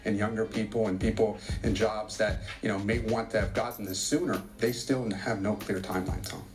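A man with an American accent giving a live news report, explaining in a slightly halting way. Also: lowish voice, steady tone, faint music behind. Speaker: news correspondent.

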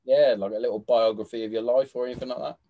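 A man's voice: mid-range voice, fairly even intonation, fast, narrow, tinny audio. A man hosting a casual chat, swearing freely, laughing often and restarting his sentences. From England. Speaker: podcast host.

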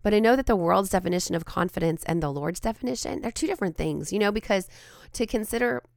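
A woman hosting a devotional podcast, her voice raspy and hoarse from a cold, speaking earnestly with frequent ums and restarts. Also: medium pitch, fast talker, no room sound, clean studio sound. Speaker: podcast host and Bible teacher.

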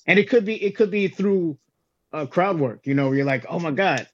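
A man's voice: a little high, brisk, slightly rough. A man who does stand-up comedy, chatting casually on a podcast, agreeable and affirming, with slangy phrasing. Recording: dull lo-fi sound with background noise, clicks.

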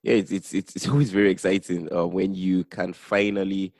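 Low gravelly guy hosting a podcast, teasing his guest, dry close sound. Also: level tone, a little fast, darkish tone, no echo. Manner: playful, teasing questioner. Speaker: podcast host. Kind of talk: casual podcast interview.

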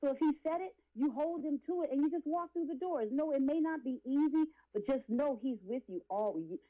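A woman's voice: high voice, lively intonation, brisk, narrow, tinny audio. A woman in her late forties giving testimony as an interview guest, speaking in an impassioned, preachy, emphatic way with repeated phrases. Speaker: guest, R&B and gospel singer.

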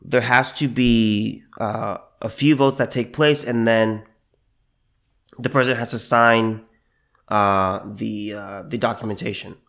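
A man hosting a solo commentary podcast, a little under the weather with allergies, who halts and repeats phrases with many fillers and slows down to stress his points. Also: fairly low pitch, steady tone, gravelly, a bit bright. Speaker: podcast host.

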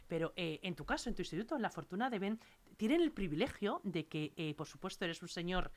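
A woman with a fairly low voice interviews a guest. Her questions are long and admiring, and they wander, full of fillers and restarts. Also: big pitch swings, fast talker.

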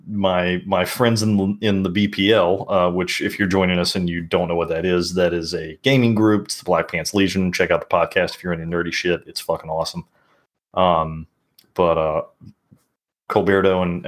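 A man's voice: sits low, a bit bright. A middle-aged man with a Southern American accent co-hosting a podcast, laid-back and wry, swearing freely in casual chat. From Southern United States. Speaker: podcast co-host.

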